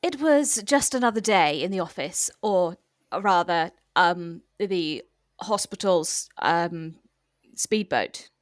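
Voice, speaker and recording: A woman playing an earnest, wistful hospital temp, narrating an improvised comic monologue with dramatic pauses. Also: medium pitch, dry recording, clean studio sound.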